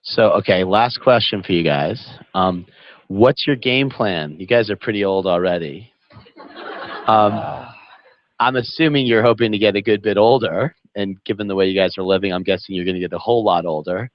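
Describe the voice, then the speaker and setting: A man's voice: fairly low pitch, normal speed, no room sound. A man in his forties moderating a panel, asking informal, stumbling questions. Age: 40s. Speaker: host. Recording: dull dry audio.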